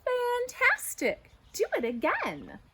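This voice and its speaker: A woman voicing a bold, clever young princess in a children's story, with emphatic, drawn-out exclamations. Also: extremely high pitch, wildly swooping pitch, background noise, pitched above the performer's own voice, exaggerated voice. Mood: eager flattery. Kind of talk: children's storybook read-aloud.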